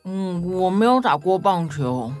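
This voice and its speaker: A woman's voice: on the low side, lively intonation, unhurried, a little mellow, faint music behind. A woman playing a sensible, thrifty, grumbling detective in a children's audio story, with a dry, exasperated manner. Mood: curious.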